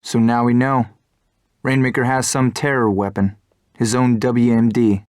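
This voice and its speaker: Actor as a determined fighter pilot, dry sound. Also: male voice, mid-range voice, flat delivery, unhurried, a little mellow, no room sound, natural voice. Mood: resolute. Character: determined, stoic young fighter pilot squad leader. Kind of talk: dramatic video game cutscene acting.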